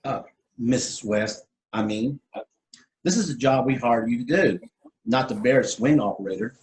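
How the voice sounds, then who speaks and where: A man playing a stern, officious railroad depot boss in a play reading, speaking in a measured, reproving way. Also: mid-range voice, darkish tone, lightly acted, rough recording. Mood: disapproving. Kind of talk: one-act play table read. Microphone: video call.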